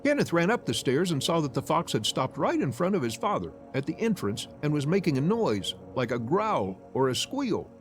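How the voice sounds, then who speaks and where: High-pitched, animated man narrating a story. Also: moderate pace, few pauses, faint music behind. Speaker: streamer. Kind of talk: expressive narration of a visual novel.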